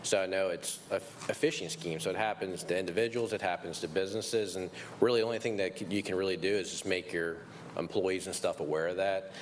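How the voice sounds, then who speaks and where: A man's voice: deep voice, fairly even intonation, brisk, hardly any pauses, a little hiss or hum. A man moderating a business panel in a meeting room, talking in a chatty, conversational way.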